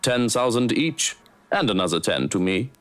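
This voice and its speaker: A man playing a calm, tattling male companion in a visual novel game. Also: on the low side, normal speed. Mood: matter-of-fact.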